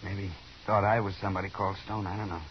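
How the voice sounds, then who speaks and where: A man's voice: deep voice, level tone, very fast, natural voice. An actor playing a wounded, guarded frontier townsman in a 1950s radio Western, weak and in pain, with an American accent. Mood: weak, evasive. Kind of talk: 1950s radio drama acting. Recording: muffled, hissy 1950s radio recording.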